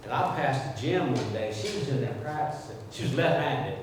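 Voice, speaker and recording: Older guy telling stories at a memorial, keeps restarting, far from the mic, clear noise behind. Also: mid-range voice, moderate pace. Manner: rambling, with frequent restarts and repeats. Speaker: speaker at a memorial tribute. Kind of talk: informal spoken remembrance. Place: indoor gathering hall. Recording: echoey hall from far off, with clear background noise.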